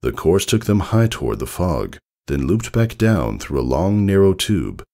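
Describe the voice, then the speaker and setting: A man reading fiction aloud as an expressive scripted story narration. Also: deep voice, a little slow. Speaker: fan fiction reader.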